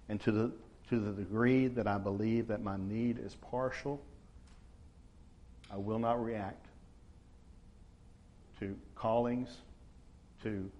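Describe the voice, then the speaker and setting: Low-voiced pastor. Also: male voice, darkish tone. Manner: conversational, unhurried, frequent uh fillers. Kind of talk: sermon. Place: church.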